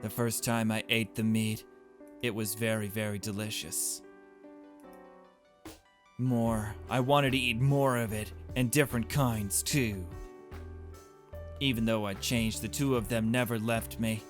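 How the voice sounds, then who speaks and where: A man voicing a deranged, devoted young narrator from a horror visual novel, reading slowly and intimately. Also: lowish voice, steady tone, faint music behind, lightly acted. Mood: dark relish. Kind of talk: slow dramatic reading of game text.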